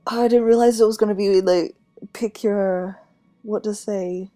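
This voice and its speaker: A woman's voice: mid-range voice, fairly even intonation, unhurried, dark tone. A woman casually commenting while playing a video game, chatty and thinking aloud. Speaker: gamer. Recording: commentary over game audio with music.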